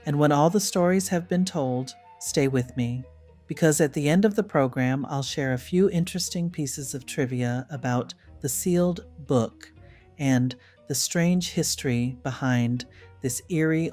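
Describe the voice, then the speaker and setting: A woman hosting a podcast, introducing an old radio series in a measured, slightly dramatic way. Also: a bass voice, warm tone, faint music behind. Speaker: podcast host.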